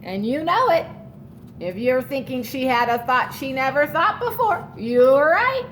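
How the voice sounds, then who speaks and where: High female voice, unhurried teacher-style storytime read-aloud, expressive stretched words, explanatory asides for kids. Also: slight background noise, low-quality recording. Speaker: teacher reading to children. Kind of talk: picture-book read-aloud for children.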